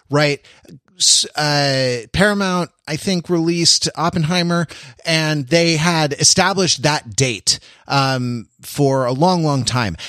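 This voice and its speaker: An American man co-hosting a podcast in casual analytical discussion, wordy and intellectual, often restarting his sentences haltingly. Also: on the high side, fairly bright tone, no echo, studio quality. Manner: hesitant, halting delivery with repeated false starts. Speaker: podcast co-host.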